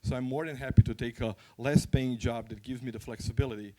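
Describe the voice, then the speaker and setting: A man's voice: mid-range voice, fairly even intonation, quick pace, warm tone. A man from Croatia with a Croatian accent, speaking candidly and conversationally as he gives an informal, anecdotal conference talk.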